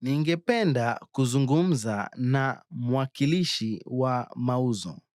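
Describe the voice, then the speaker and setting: A man demonstrating scripted phrases for a language drill, with careful, deliberate enunciation. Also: medium pitch, animated, darkish tone, no room sound, studio quality. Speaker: native-speaker phrase demonstrator.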